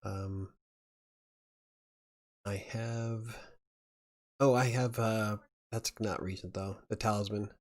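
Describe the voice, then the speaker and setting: Low-ish guy rambling on a livestream, chuckles a lot. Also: steady tone, slow pace, long pauses. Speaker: livestream host. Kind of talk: casual live chat.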